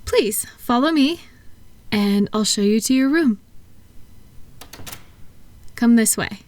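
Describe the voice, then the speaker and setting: Woman acting as a kind spa-like clinic attendant, soft-spoken, slightly high voice, slow and reassuring, ASMR roleplay, close dry sound. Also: animated, no echo. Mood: gently hospitable. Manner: gentle, reassuring, unhurried. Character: kind, unhurried female attendant at a thought-calming clinic.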